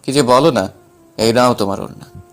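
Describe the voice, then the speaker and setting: A man playing a protective, defiant young husband, the calm hero of an audio story, with a firm manner. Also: mid-range voice, moderate pace, faint music behind, close to an everyday voice. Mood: gentle, teasing.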